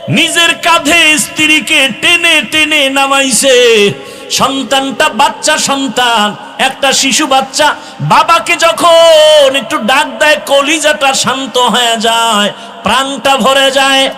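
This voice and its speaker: High, forceful Bangladeshi male preacher, heavy reverb. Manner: impassioned, chanting, drawn-out exhortations. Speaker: Islamic preacher. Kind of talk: waz sermon to young people. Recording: long hall reverb with music behind.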